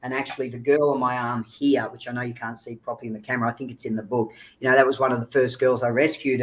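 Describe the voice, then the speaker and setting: A man's voice: medium pitch, poor audio. A man giving informal, earnest, explanatory answers as an interview guest on a video call. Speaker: guest speaker, child recovery agency founder.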